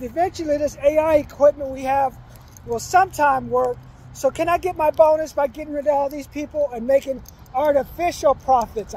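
A man's voice: very high-pitched, a little mellow, rough recording. A man in his fifties walking outdoors, giving urgent, repetitive advice into a phone at arm's length. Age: 50s. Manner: preachy, repeats himself, urgent advice. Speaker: vlogger. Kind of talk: walking selfie vlog. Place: outdoors by a commercial complex, trees and sky. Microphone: phone held at arm's length while walking.

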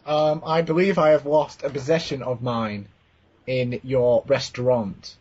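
A man playing a slow, pedantic, over-formal customer on a prank phone call. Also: medium pitch, steady tone, a little mellow, slight background noise, natural voice. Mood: stilted, formal. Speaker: prank caller.

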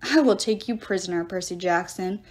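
A woman's voice: lowish voice, moderate pace, voice pushed down, lightly acted. A woman voicing a menacing male giant, a brutish storybook villain who growls and snarls out threats.

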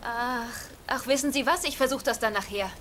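A woman's voice: on the high side, brisk, natural voice. An actress playing a chatty younger woman breezily dodging chores in a German sitcom dub. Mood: breezy. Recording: very noisy, crowd-like background.

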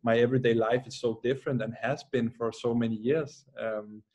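A young man from Denmark with a Danish accent, a footballer answering interview questions calmly over a video call, pausing often. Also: medium pitch. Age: young adult. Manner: calm, measured, with many "uh" pauses. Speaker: guest, professional footballer. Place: plain room at home.

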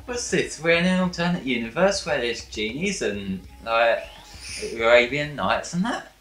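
A man's voice: medium pitch, sing-song, moderate pace, fairly bright tone, reverberant. A man giving casual, sarcastic commentary over a video game, joking with friends. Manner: sarcastic, wry. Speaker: gameplay commentator.

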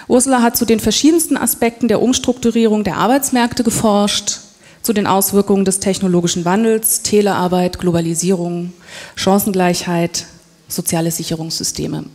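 A woman moderating a conference, introducing the keynote speaker in measured, orderly phrases. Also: medium pitch, a little mellow. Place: conference hall. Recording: long reverb of a conference hall.

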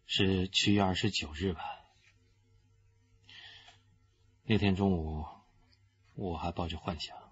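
An actor playing a young, arrogant, unrepentant killer confessing calmly in a radio crime drama. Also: male voice, sits low, highs cut off. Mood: cold, subdued. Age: young adult. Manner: unrepentant, coldly deliberate.

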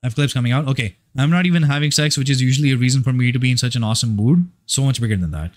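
A man's voice: medium pitch, rapid-fire. Male fitness coach in a mid-range voice, talking bluntly and profanely in a loose motivational ramble. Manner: rambling, profane, emphatic, with frequent restarts. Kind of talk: casual motivational monologue.

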